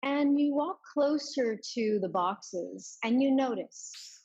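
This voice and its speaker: A woman leading a guided meditation as a yoga teacher, giving soft instructions with many pauses. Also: high voice, expressive, slightly rough, dull audio.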